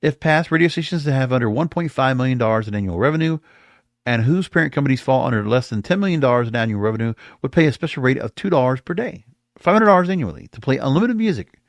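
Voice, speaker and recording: A man's voice: mid-range voice, lively intonation, fast talker, no echo. An American man hosts a solo podcast in a mid-range voice. He gives his opinions emphatically, drawing out words to stress them. The sound is dry, with very little room. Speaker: podcast host. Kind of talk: opinionated solo commentary on the music industry.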